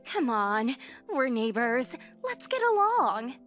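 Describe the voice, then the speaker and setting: A woman's voice: a little high, sing-song, takes it slowly, fairly bright tone, soft background music, exaggerated voice. A woman playing a brazen, entitled neighborhood mom in a manga dub, with a gushing, exclaiming manner. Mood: pleading, wheedling.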